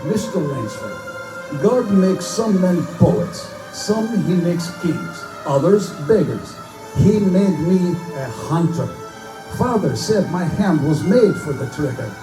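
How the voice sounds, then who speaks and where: A man's voice: high voice, big pitch swings, takes it slowly, heavily acted. A man playing a courtly, boastful Russian general obsessed with big-game hunting, in a theatrical live reading of pulp fiction. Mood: proud, reminiscing. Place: bookstore live performance before microphone. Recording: echoey live room, distant mic, music underneath.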